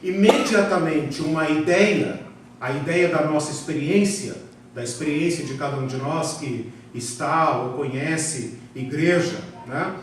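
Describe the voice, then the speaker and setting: A man's voice: a little high, a little slow. A man with a Brazilian Portuguese accent teaching a theology class, often tagging questions onto his sentences and repeating words for emphasis. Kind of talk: classroom lecture. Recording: very echoey room, voice far from the mic, faint noise.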